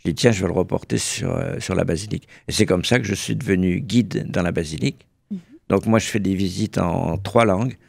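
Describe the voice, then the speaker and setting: Man in his 70s, very deep, gravelly, dry studio sound, genial radio guest. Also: brisk, darkish tone, no echo. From Burgundy, France. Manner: genial, anecdotal, self-correcting. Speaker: association president, guest. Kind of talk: radio interview.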